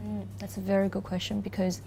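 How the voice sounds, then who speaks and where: A woman in her thirties from China, a Mandarin native speaking English with a Chinese accent, answering interview questions thoughtfully, with frequent "um" pauses and restarts. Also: mid-range voice, completely flat delivery, gravelly, warm tone, slight background noise. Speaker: corporate lawyer, interview guest. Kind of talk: relaxed interview conversation.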